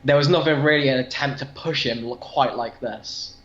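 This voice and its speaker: A man's voice: mid-range voice, moderate pace, fairly bright tone, echoey room, lo-fi sound. A British man speaking as a podcast guest in casual, opinionated discussion. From Britain. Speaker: podcast guest and comic book fan.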